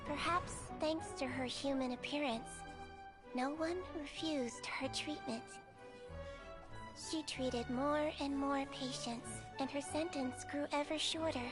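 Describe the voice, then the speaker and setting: A woman voicing a small, gentle, youthful nonhuman girl doctor in a video game, with an earnest, measured manner. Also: high voice, fairly even intonation, music in the background. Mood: solemn storytelling.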